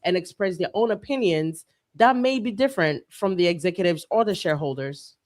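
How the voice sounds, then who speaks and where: A woman's voice: fairly low pitch, lively intonation, a little fast, a little mellow. A woman hosting a live stream, bantering playfully with the chat and reading deposition questions aloud. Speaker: livestream host.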